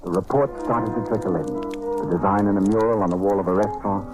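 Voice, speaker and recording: An actor playing a shrewd American investigator in an old-time radio detective drama, speaking in a clipped, decisive, matter-of-fact way. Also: male voice, on the low side, fairly quick, music in the background, natural voice, poor audio. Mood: grim storytelling. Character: hard-boiled American male investigator, shrewd and decisive, narrating his case. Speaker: lead actor and narrator.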